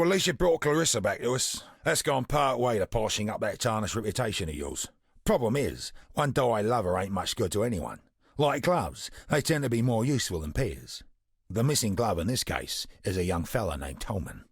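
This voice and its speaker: Shady wry trader for a video game, raspy crackly voice, real swoopy delivery, mocking and fake polite. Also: male voice, lowish voice, a bit bright, no echo, studio quality, heavily acted. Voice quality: gravelly, rough-edged. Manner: sardonic, teasing, mock-courteous banter with colloquial grammar. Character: roguish, wry male underworld trader in a grim exile city. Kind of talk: video game character voice acting.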